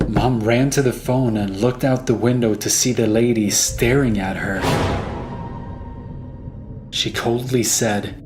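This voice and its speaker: A man's voice: mid-range voice, fairly even intonation, slow, pauses often. A man narrating a scripted first-person horror story. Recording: music behind the voice.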